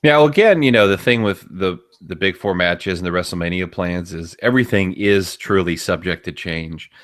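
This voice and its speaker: A man's voice: low voice, moderate pace, fairly bright tone. A man co-hosting a podcast, giving emphatic, opinionated commentary on wrestling in a casual conversational style. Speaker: podcast co-host.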